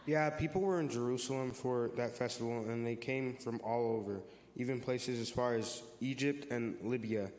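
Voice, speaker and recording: A man's voice: medium pitch, fairly even intonation, normal speed, few pauses, darkish tone, natural voice. A man playing an earnest, friendly youth who explains a church story to friends in a reader's theater. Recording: echoey hall with long reverb.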